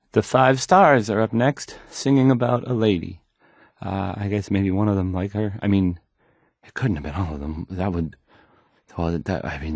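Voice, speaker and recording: A man's voice: on the low side, dry recording, clean studio sound. An actor playing an awkward, self-doubting young male radio DJ in a game, hesitantly rambling through song introductions.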